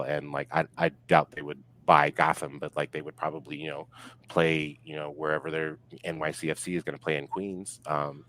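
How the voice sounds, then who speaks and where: A man's voice: rumbling low, a bit bright, poor audio. A man giving opinions in a casual sports discussion, very informal and peppered with filler words. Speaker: podcast guest.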